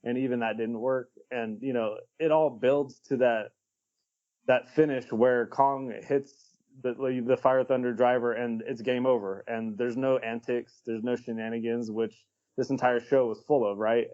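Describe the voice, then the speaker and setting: A man's voice: medium pitch, moderate pace. Blunt, casual man on a muffled podcast. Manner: relaxed, blunt, swears casually. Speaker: podcast co-host. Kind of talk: casual podcast chat giving opinions.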